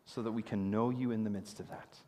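A man's voice: lowish voice, a little fast. Man preaching in a reverberant sanctuary. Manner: animated storytelling, gesturing while preaching. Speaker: pastor. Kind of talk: sermon. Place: church sanctuary stage. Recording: long reverb of a church hall.

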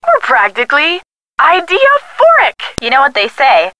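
A chatty young woman, the sleuth's witty pal in a mystery game. Her voice is bright and fairly high, swinging widely as she teases. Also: measured pace, exaggerated voice. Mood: teasing. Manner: playful, teasing, expressive. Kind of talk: scripted video game dialogue.

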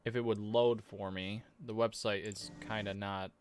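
A young man giving casual, excitable reaction commentary as a gaming streamer, often repeating words. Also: lowish voice, normal speed. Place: small cluttered gaming room at home. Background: game trailer music.

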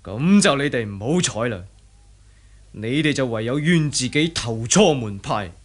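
An actor playing a mock-pious bandit chief posing as a monk, sneering and theatrical, in Hong Kong Cantonese radio drama. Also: male voice, mid-range voice, expressive, a little slow. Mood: sly, gloating.

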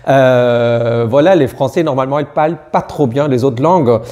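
A man's voice: mid-range voice, normal speed, mellow. A man in his thirties, a native German speaker from Austria with a German accent, talking hesitantly with many fillers and restarts as an interview guest. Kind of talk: casual interview chat in a learned language. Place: small indoor studio room.

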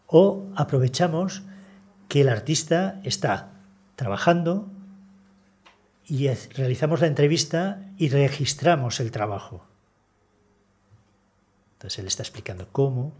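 An older man delivers a seminar talk seated at a table in a conference room. His voice is mellow and a little breathy, and he explains things in a measured way with many hesitations. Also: mid-range voice, lively intonation. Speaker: conference speaker, conservator.

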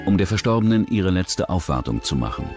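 Deep-voiced man narrating true crime. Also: very animated, a little fast, music in the background. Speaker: documentary narrator.

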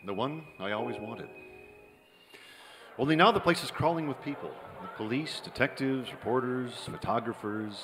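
A man's voice: mid-range voice, moderate pace, music in the background, close to an everyday voice. An actor playing a wry, cynical middle-aged man narrating his own murder in a radio noir drama. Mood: wry, bitter. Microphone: vintage-style stand microphone on stage. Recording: live theatre, long hall reverb, audience.

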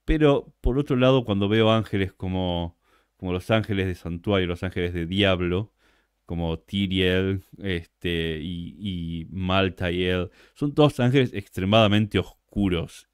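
Young Argentine man, interview guest; low pitch, lively and casual delivery. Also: moderate pace, clear, resonant tone, dry recording, studio quality. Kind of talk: casual livestream chat.

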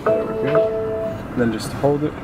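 A man calmly walking someone through car settings step by step, with fillers. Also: medium pitch, normal speed, over loud music, rough recording. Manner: calm, patient, with fillers. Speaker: car technician. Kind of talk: step-by-step instructions. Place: inside a car.

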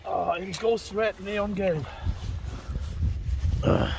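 High-pitched man vlogging, background noise. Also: extremely slow, creaky. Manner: colloquial, addresses viewers as friends. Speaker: fishing vlog host. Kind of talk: casual vlog commentary to viewers.

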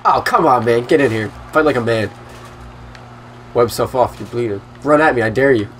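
A man's voice: mid-range voice, brisk, fairly bright tone, slight background noise. A young man hosting a gaming video, playing casually with a friend, sarcastic and laughing while trash-talking the game. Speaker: gaming channel host. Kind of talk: casual let's play banter.

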